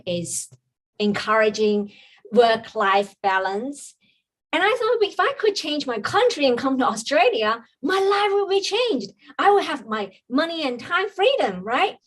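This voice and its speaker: Woman telling her story. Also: fairly high pitch. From China. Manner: earnest, reflective storytelling with frequent ums. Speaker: podcast guest, business coach. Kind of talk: personal story in a podcast interview.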